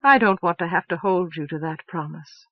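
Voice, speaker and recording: A woman reading aloud and voicing a gentle, sorrowful older woman who speaks slowly. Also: on the low side, dry recording, phone-quality sound, lightly acted. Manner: slow and sorrowful.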